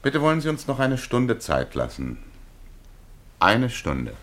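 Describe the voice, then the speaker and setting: An actor playing a reflective, embittered burglar and war veteran in a 1950s radio play, soft-spoken, ironic and philosophising. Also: male voice, mid-range voice, huge pitch swings, a little slow. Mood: amused, ironic.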